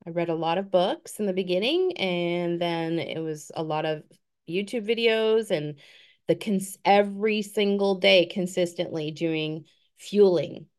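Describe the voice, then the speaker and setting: A woman's voice: fairly low pitch, a little mellow, smooth. A woman in her fifties giving an earnest, encouraging motivational talk on a group call. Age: 50s. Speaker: guest speaker.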